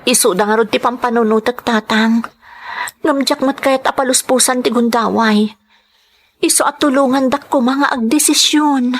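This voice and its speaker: A woman's voice: a little high, fairly even intonation, moderate pace. A woman acting a burdened young wife and mother in an Ilocano melodrama, earnest and sorrowful, with an Ilocano accent. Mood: troubled. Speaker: drama lead and narrator.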